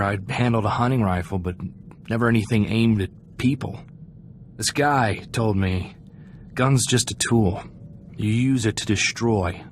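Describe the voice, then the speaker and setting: A man's voice: fairly low pitch, close to an everyday voice. An actor playing an earnest, idealistic young freighter crewman in a video game, talking in a folksy, chatty way. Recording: clear background ambient noise.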